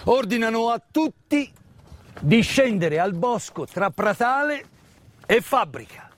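A man giving a dramatic theatrical narration of a wartime story, measured and emphatic, with pauses and hushed phrases. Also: extremely high pitch, lively intonation. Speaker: stage actor and storyteller. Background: live musical accompaniment.

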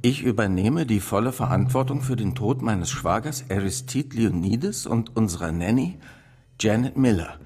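An actor playing a sober police inspector in a radio drama, reading formally and deliberately. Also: male voice, on the low side, expressive, darkish tone, slightly rough. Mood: solemn, official.

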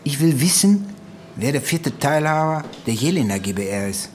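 An actor playing a sardonic, dogged police detective in a radio crime drama, talking in a wry, needling, colloquial way. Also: male voice, on the high side, expressive, moderate pace, slight background noise, close to an everyday voice. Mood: probing.

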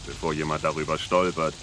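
A man's voice: deep voice, noisy background. A man acting an officious, cheerfully corrupt policeman in a German crime radio play, bossy and bureaucratic but jovial.

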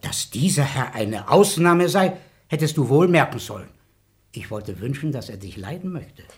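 A man's voice: medium pitch, lively intonation, normal speed, natural voice. A man playing an effusive old baron. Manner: effusive, pleading courtesy. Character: fussy fatherly old baron, host. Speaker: actor. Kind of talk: radio play acting.

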